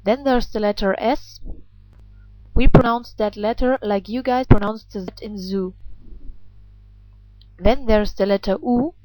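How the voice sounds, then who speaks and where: A woman, a native German speaker with a German accent, giving an instructional pronunciation lesson as a language teacher, enunciating deliberately. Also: medium pitch, darkish tone, dry recording, rough recording.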